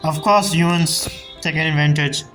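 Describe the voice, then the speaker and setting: A woman's voice: low-pitched, fairly even intonation, moderate pace, poor audio. A woman streaming a game, giving casual, blunt live reactions with plenty of swearing. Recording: loud game music and dialogue behind.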